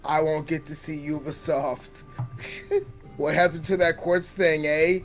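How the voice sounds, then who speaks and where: Young guy ranting about games, swears a lot, kinda high voice, music under it. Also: steady tone, unhurried. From Philadelphia area. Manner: loose, profane, ranting. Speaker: podcast co-host. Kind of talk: casual gaming chat.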